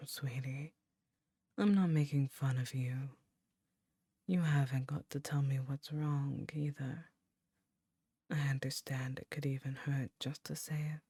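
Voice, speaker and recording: A woman voicing an ancient, seductive yet motherly female demon queen, teasing and then gently nurturing in soft, intimate whispers for an ASMR roleplay. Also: extremely low pitch, dry recording, clean studio sound, exaggerated voice. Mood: tender.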